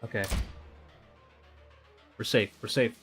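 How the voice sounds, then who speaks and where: A man livestreaming a visual novel, reading it aloud with excitable, dramatic delivery and chatty casual asides. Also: lowish voice, slow pace, breathy edge. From Philippines. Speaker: streamer. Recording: music behind the voice. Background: game music.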